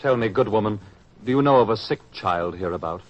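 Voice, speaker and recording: An actor playing an eager, insistent disciple in a biblical radio drama, with emphatic theatrical delivery. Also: male voice, fairly low pitch, expressive, a little fast, natural voice. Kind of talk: old-time religious radio drama acting. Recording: dull old radio recording.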